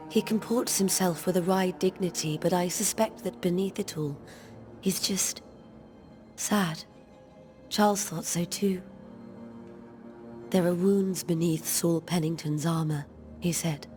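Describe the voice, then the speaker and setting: An actress playing a grieving, devout colonial wife in a video game, speaking in formal, measured, old-fashioned phrases. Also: female voice, fairly low pitch, darkish tone, lightly acted. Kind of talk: dramatic video game acting.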